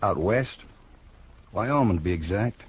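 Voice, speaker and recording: An actor playing a defiant, stubborn cowboy with quiet menace and terse, brooding speech in a 1950s radio western. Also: male voice, fairly low pitch, expressive, a little slow, a little hiss or hum. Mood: guarded, defiant. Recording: muffled old radio recording.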